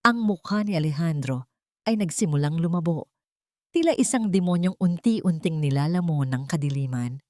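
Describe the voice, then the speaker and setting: A Filipino woman narrates a dramatic audiobook in a low-pitched voice. The sound is dry and close, with very little room in it. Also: normal speed, warm tone, dry recording. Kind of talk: dramatic scripted story narration.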